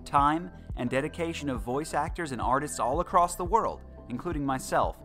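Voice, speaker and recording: A man delivers a scripted promo as a voice actor. His voice is slightly high and very animated in pitch, over faint music. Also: moderate pace. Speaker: voice actor giving a promotional message.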